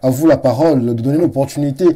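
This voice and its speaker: Formal TV host, dark voice. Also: male voice, medium pitch, low-quality recording. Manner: formal, elaborate phrasing with filler pauses. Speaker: TV talk show host. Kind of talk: formal TV studio interview. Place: TV studio set.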